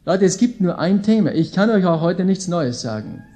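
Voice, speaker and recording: A man's voice: sits high, sing-song, normal speed, mellow. A man in his late thirties with an Austrian accent, preaching to a live audience in an informal, folksy way with emphasis on key phrases. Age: late 30s. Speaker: visiting preacher, Bible school leader. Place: indoor gathering with an audience.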